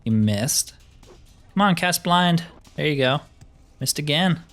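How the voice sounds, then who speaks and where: A man's voice: a little high, lively intonation, slow, fairly bright tone. Man thinking aloud over faint music. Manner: thinks aloud, wry grumbling. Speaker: gaming video host. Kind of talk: casual let's play commentary.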